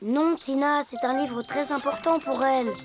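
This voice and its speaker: A woman's voice: high-pitched, moderate pace, natural voice. A woman voicing a cautious, nervous young boy in a cartoon, with music behind. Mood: earnest. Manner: nervous, fussy, careful.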